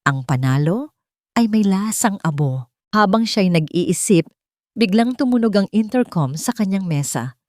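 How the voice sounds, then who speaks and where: A woman with a Filipino accent narrating a scripted love drama in a measured, dramatic way. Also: mid-range voice, animated, darkish tone, no echo, clean studio sound. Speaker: storyteller.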